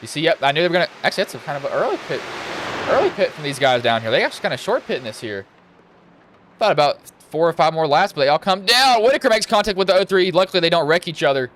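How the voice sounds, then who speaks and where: A young man with a slightly deep voice commentating a sim truck race live, casual and rambling, getting excited when cars wreck. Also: fast, slight background noise. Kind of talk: live sim racing play-by-play.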